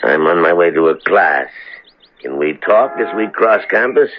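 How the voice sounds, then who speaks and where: An actor playing an evasive, haughty philosophy professor in a 1970s radio drama, formal and precise. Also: male voice, low voice, expressive, normal speed, a little hiss or hum. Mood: polite, evasive. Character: smooth, evasive philosophy professor, indignant when challenged. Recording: tinny, phone-like old radio recording.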